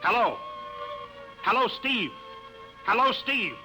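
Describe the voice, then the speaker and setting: An actor playing a weary, commanding older flight chief in 1950s radio drama style. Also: male voice, very high-pitched, big pitch swings, slow, music in the background, full character voice. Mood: alarmed, urgent. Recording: noisy old 1950s radio recording.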